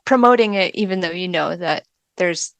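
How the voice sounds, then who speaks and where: A woman's voice: fairly low pitch, moderate pace, vocal fry, fairly bright tone, no room sound. A woman chatting casually as a podcast guest, informal and often padding her sentences with filler words. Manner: informal, hedging with frequent "like". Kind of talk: casual chat.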